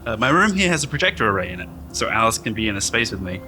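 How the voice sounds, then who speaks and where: A man playing a weary, anxious space traveler, recounting his days in a halting, understated audio drama journal. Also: lowish voice, sing-song, pushed and bright, slight background noise, exaggerated voice. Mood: subdued, uneasy. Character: weary, anxious man awakened from centuries of cryosleep.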